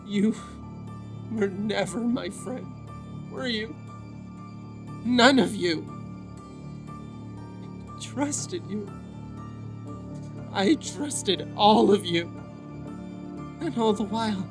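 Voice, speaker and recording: A man's voice: high voice, expressive, takes it slowly, long pauses, over music. A man playing an embittered, wounded young male witch seething with anger in a fantasy audio drama. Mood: hurt, bitter.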